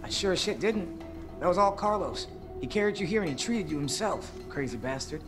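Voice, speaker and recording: A man's voice: high-pitched, animated, brisk, over music. An actor playing a wry, foul-mouthed male survivor in a dramatic video game cutscene. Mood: dry, casual.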